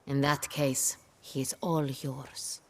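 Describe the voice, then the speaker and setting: A woman's voice: very deep voice, little pitch movement, slow pace, a little mellow, full character voice. A woman playing an imperious, impatient duchess in a video game, speaking in a regal, clipped, formal manner. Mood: cool command. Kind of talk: video game cinematic acting.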